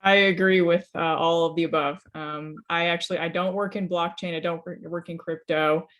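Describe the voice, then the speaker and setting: A woman in her thirties giving advice on a webinar panel in a quick, casual way with frequent fillers. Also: lowish voice, steady tone. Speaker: hedge fund founder on a webinar panel. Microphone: webinar call microphone.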